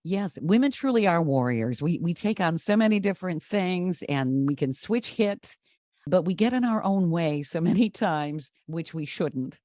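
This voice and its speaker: A woman's voice: lowish voice, lively intonation, normal speed, warm tone, no echo, clean studio sound. A woman co-hosting a trucking podcast, reading the introduction and then interviewing a guest. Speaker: podcast co-host. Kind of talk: scripted show intro and interview.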